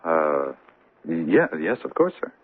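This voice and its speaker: Deep-voiced, hesitant bank assistant. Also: male voice, very animated, slow pace, slightly rough, exaggerated voice. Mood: guarded. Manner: hesitant, careful, polite. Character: deferential, evasive male junior bank assistant. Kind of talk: old-time radio drama acting. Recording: tinny band-limited radio drama recording.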